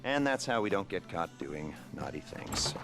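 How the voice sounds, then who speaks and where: A man's voice: low voice, big pitch swings, moderate pace, over music. An actor playing a tongue-in-cheek heist mastermind in a video game, briefing his crew in an instructing manner. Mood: mock sentimental. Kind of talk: video game cutscene acting.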